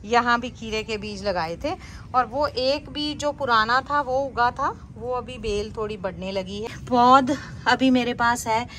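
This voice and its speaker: A woman's voice: a little high, fairly bright tone, slight background noise. A woman casually narrating a garden tour as a vlogger.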